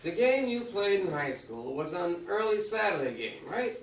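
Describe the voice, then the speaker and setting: Man acting retired old baseball star, calm and sure, high male voice, echoey distant stage reading. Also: moderate pace. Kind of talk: amateur staged play reading. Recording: echoey room, far from mic.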